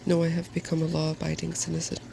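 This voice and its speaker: A man reading as a gravelly, hopeful storybook dragon. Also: fairly high pitch, monotone, fast talker, background noise. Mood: cautious. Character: cheerful, undaunted, wise male dragon, weary traveller. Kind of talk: soft-spoken storybook reading.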